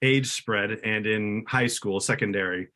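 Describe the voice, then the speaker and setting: A man's voice: fairly low pitch, unhurried, bright, forceful tone. A middle-aged man speaking as a podcast host, introducing and interviewing a guest in a friendly way.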